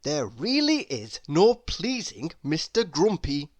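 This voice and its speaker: A woman narrating a children's story in an expressive, emphatic read-aloud style. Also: low-pitched, slow pace, dry recording. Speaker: storybook reader.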